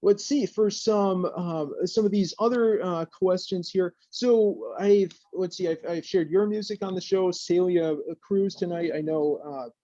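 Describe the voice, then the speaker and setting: A man's voice: high voice, measured pace, hardly any pauses, warm tone, dry recording, highs cut off. A man hosting a casual radio interview, hesitant and searching for his words. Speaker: radio show host interviewing.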